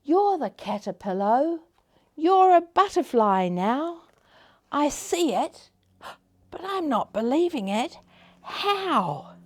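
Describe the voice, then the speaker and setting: A woman's voice: sits low, lively intonation, slow, darkish tone. A woman voicing a lazy, whiny male caterpillar in a children's storybook, fussy and exclamatory. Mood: astonished.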